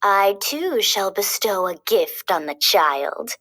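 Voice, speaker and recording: A woman voicing an evil sorceress in a storybook, mock-polite and deliberate as she threatens. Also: medium pitch, big pitch swings, a bit bright, voice pushed down, full character voice. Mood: coolly menacing. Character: evil sorceress, coolly wicked, cursing a baby.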